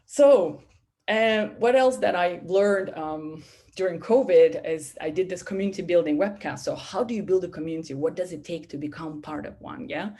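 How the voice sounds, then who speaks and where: Animated woman speaking in a webinar. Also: fairly low pitch, normal speed. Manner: animated, many restarts and "you know" fillers. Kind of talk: webinar talk. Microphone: video call.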